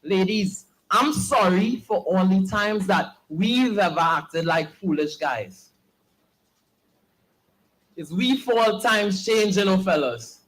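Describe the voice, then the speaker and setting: A young man, performing spoken word poetry with a slow, earnest, pleading delivery at an open mic. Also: sits high, animated, fairly bright tone, poor audio. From Trinidad and Tobago.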